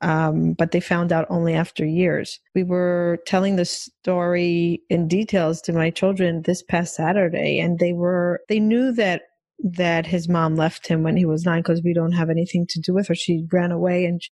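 A woman's voice: fairly low pitch, level tone, normal speed, no room sound. A woman hosting a podcast who listens attentively and then shares a personal story in conversation. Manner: attentive, frequent soft backchannels, storytelling. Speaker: podcast host. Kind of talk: interview conversation.

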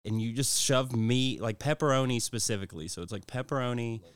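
A man's voice: mid-range voice, fairly quick, clean tone, dry recording. A man in his thirties from West Virginia, a comedian guest chatting casually on a podcast and laughing often as he talks. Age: 30s. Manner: laid-back, laughs often while talking.

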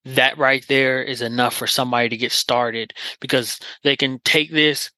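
A man's voice: mid-range voice, fairly even intonation, moderate pace, sharp tone, no echo. A man hosting a podcast, talking in an earnest, encouraging way with lots of filler phrases. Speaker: podcast host. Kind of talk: casual interview chat.

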